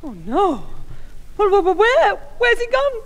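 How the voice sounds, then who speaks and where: A woman playing a distraught, shrill, hysterical mother in a 1960s radio comedy. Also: sing-song, unhurried, heavily acted. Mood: frantic. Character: distraught, shrill mother fretting over her boy. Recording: old radio recording with long reverb.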